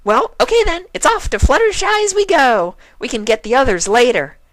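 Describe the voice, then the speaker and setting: A performer voicing a hyperactive, excitable young female cartoon pony in a bubbly, exclaiming manner. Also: high-pitched, normal speed, dry recording, voice pushed up. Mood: cheerful.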